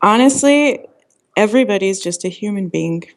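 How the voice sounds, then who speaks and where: A woman's voice: mid-range voice, animated, moderate pace, slight background noise. A woman founder raised in the US, giving upbeat, emphatic advice in a casual podcast interview. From Iran, raised in the US. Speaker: startup founder, interview guest.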